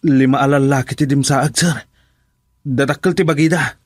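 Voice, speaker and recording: A man acts out a humble young working man in a radio drama, speaking at a medium pitch with an Ilocano Filipino accent. He is plain-spoken and deferential, loyal in temper, and recorded very dry. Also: fairly even intonation, normal speed, no room sound, lightly acted. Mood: respectful, uneasy.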